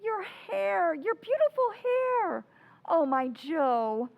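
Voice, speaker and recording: Actress as loving mother, high-pitched, swooping intonation, tender, earnest, radio play. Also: big pitch swings, slow pace, vocal fry, muffled recording, full character voice. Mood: shocked, tender. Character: gentle, loving, anxious mother. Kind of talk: old-time radio drama acting.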